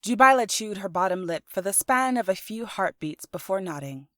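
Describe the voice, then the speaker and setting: Female audiobook narrator, medium pitch, expressive storybook delivery, taking her time. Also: moderate pace, no echo, studio quality. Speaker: author and narrator.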